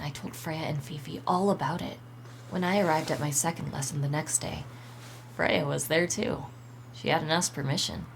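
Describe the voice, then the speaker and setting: A woman voicing a guarded, frank young woman questioned in a video game mystery, speaking candidly in a low-key way. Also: creaky, slight background noise. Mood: nostalgic. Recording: game audio with music underneath.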